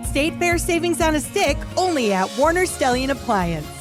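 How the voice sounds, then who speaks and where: High-voiced woman in an ad over music. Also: very animated, normal speed. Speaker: advertisement spokesperson. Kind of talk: scripted commercial read.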